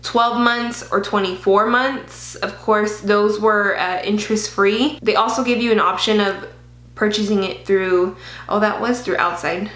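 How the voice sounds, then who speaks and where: A woman chats through a personal vlog in a loose, filler-heavy way, now and then swearing. Her voice sits at a middle pitch and carries a slight lisp from dental aligners. The recording has a roomy echo. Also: steady tone, a little slow, a bit bright. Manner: chatty, many fillers, occasional swearing. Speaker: vlogger.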